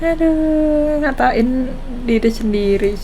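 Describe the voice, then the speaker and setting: A woman's voice: high-pitched, takes it slowly, background noise. A woman with an Indonesian accent giving casual commentary as she streams a game.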